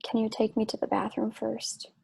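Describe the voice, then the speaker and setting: An actress playing a sick, weakened, trusting wife in a dramatic stage play reading, speaking wearily. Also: female voice, a little high, monotone, normal speed, creaky, darkish tone, breathy edge, dry recording, full character voice. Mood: reassuring, weak. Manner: weak, weary.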